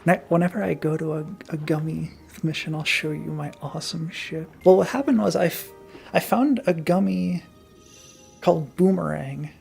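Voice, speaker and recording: Brief: gravelly, heavily creaky streamer, dry joking banter over faint game music. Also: medium pitch, moderate pace, darkish tone. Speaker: gaming streamer. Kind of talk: casual sarcastic game commentary.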